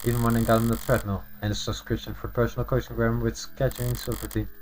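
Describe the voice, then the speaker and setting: A man's voice: medium pitch, flat delivery, slight background noise, rough recording. A man reading a visual novel aloud on a live stream, stumbling and rereading lines, with offhand asides. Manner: halting reader who repeats and rereads lines. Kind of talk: live game read-aloud with off-script asides. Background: game music.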